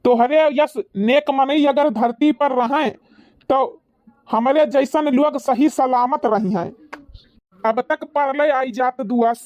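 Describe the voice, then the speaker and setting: A woman playing an excitable, boastful old village uncle in a comic cartoon, with an Awadhi accent and theatrical grumbling. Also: sits high, slow pace, no echo, muffled recording. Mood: wry.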